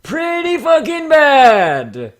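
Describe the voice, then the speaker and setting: A man's voice: very high voice, wildly swooping pitch, extremely slow. A man giving casual comedic commentary and mock dramatic readings in a sarcastic, theatrical, foul-mouthed way. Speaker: YouTube host.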